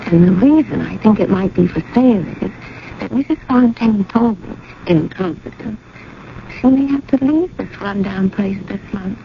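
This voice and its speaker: A woman's voice: mid-range voice, expressive, normal speed, vocal fry. A woman playing an eager, gossipy and insistent young society lady in a 1980s radio drama. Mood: conspiratorial. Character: eager, pushy engaged society woman, teasing her cousin. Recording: noisy, muffled old radio broadcast.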